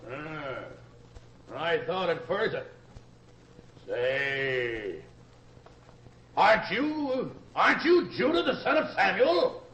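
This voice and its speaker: A man's voice: high voice, animated, slow pace, long pauses, a bit bright, full character voice. A man playing a sly, mocking farmer in old-time radio style, drawling and taunting theatrically. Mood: sly, gloating. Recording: echoey, dull lo-fi radio sound.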